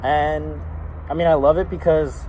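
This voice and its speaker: A young man talking casually as a guest, relaxed and rambling with many fillers. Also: a little high, measured pace. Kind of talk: casual chat. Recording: noisy street with passing traffic.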